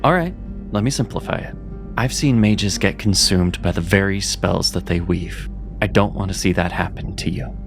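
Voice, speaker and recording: A low-voiced actor as a male mage with a creaky, gravelly edge, mentoring with patient sincerity. He speaks in measured, reassuring phrases, as in a fantasy audio drama, with music and rain underneath. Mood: earnest, reassuring. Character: Seraphin, male mage, gentle earnest mentor.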